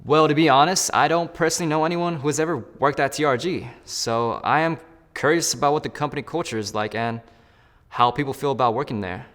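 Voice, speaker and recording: A man's voice: fairly high pitch, brisk, a bit bright. Man explaining his interview steps in an echoey room. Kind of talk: scripted role-play with asides to the viewer.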